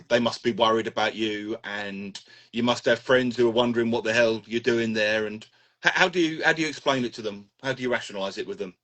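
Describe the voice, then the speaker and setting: A man's voice: medium pitch, a bit bright. A man hosting an interview on a video call, easing hesitantly into his questions. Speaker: host.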